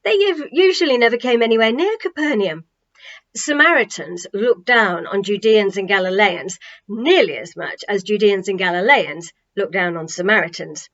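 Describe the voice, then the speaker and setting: A woman reading a children's novel aloud as an expressive storybook narration, with dramatic, emphatic phrasing and deliberate pauses. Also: mid-range voice, lo-fi sound.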